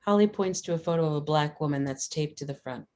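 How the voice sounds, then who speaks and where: A woman's voice: deep voice, fast talker, darkish tone. A woman reading scripted stage directions as narrator at a screenplay table read.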